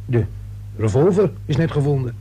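A man playing a weary, gossipy apartment caretaker in a radio thriller, grumbling in halting, colloquial speech. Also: medium pitch, animated, warm tone, husky. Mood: gossipy, sly. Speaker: actor in a radio serial. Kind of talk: Dutch radio thriller acting. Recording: noisy old radio play with hum.

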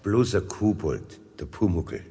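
An actor playing a good-natured, bashful middle-aged Bavarian master carpenter in a children's radio play, hesitant and plain-spoken. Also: male voice, sits low, very animated, measured pace, warm tone, long reverb tail, faint music behind, full character voice. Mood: earnest. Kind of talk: 1970s German children's radio play acting.

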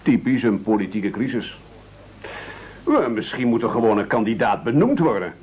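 An actor in a radio play playing a pompous, scheming leader who speechifies in formal, flowery phrases. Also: male voice, medium pitch, animated, moderate pace, slightly rough. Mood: grave. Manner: oratorical, grandiloquent, formal. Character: pompous, scheming, silver-tongued male leader of castaways. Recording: dull, lo-fi radio play recording.

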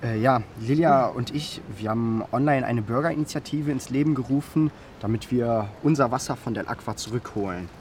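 An actor playing an earnest, halting young activist being interviewed in a radio drama. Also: male voice, mid-range voice, darkish tone, a little hiss or hum, lightly acted. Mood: earnest, hesitant.

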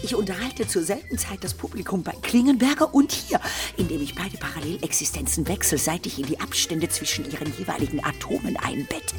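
An actress playing a genteel variety artiste in a satirical radio play, chatting in an affected yet matter-of-fact way. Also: female voice, fairly low pitch, brisk, over music, close to an everyday voice. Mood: chatty, pleased.

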